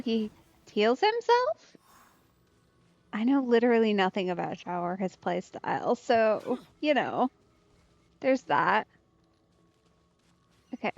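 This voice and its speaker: A woman gives casual game commentary in a highish, very animated voice. She talks at an unhurried pace, playfully thinking aloud, on a slightly dull microphone. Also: gravelly, no echo. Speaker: game streamer. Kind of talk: casual let's play commentary. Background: faint game music.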